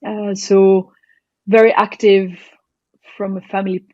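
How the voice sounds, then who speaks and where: A woman's voice: mid-range voice, little pitch movement, measured pace, dark tone, no room sound, lo-fi sound. A woman from Belgium, a startup founder, talking as a podcast guest, thoughtful and hesitant, with many fillers. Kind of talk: casual interview chat.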